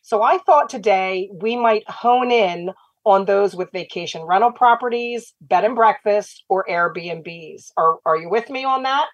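A woman hosts a radio talk show on a studio mic. Her voice is a little raspy from a recent bout of laryngitis. She talks in an upbeat, steady way into a dry, close sound with no room behind it. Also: mid-range voice, a little slow, no echo, dull audio. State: slightly raspy after laryngitis.

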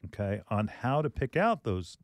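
A man's voice: lowish voice, very animated, normal speed, no echo, studio quality. A man hosting a garden radio show in casual chat, jokey and talkative, giving tips and quoting callers. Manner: chatty, jokey, quotes callers in mock voices.

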